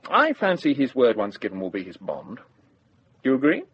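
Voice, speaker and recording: An actor playing a brisk, shrewd deputy sheriff with an air of authority in a radio drama. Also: male voice, medium pitch, wildly swooping pitch, highs cut off, slight background noise. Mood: mock indignant.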